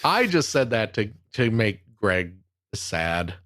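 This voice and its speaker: A man chats casually as a podcast panelist. His mid-pitched voice moves up and down in a lively way. The sound is dry. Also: slow pace, no echo. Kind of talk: casual chat.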